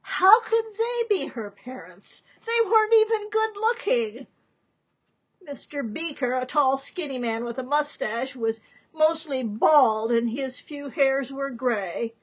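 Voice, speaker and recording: A woman giving a dramatic reading of a story, deliberate and theatrical, with emphatic pauses. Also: high voice, lively intonation, lo-fi sound. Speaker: author reading from own book.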